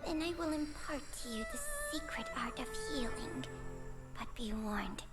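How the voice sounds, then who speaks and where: A woman playing an ancient, wry and world-weary snake spirit in a video game, speaking in a measured, knowing way. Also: a little high, loud music behind the voice, lightly acted. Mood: solemn. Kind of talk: video game character acting.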